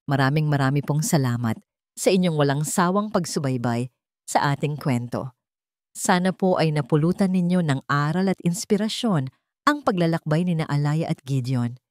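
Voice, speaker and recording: A woman storyteller reading a scripted dramatic story in an earnest, measured way. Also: deep voice, lively intonation, darkish tone, dry recording, clean studio sound.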